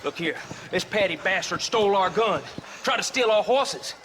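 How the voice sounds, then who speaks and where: High-voiced menacing western outlaw. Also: male voice, animated, moderate pace, background noise. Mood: threatening. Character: threatening, smug frontier outlaw. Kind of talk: game character acting.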